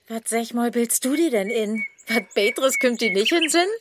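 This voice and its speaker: A woman playing a sharp-tongued Low German young woman. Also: animated, moderate pace, noisy background, natural voice. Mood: mocking. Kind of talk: radio play acting.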